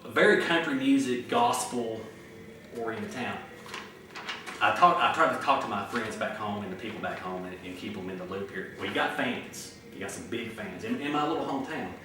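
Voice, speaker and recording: A man's voice: on the low side, moderate pace. A man with a Tennessee accent interviewing a musician, asking long, chatty, wandering questions. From small town in Tennessee. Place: outdoor festival grounds. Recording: echoey and distant, faint noise.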